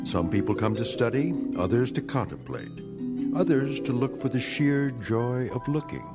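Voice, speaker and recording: A man acting as a grave, knowing storyteller, narrating an eerie radio drama in a measured, ominous way. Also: medium pitch, expressive, lightly acted. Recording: prominent music behind a radio drama voice.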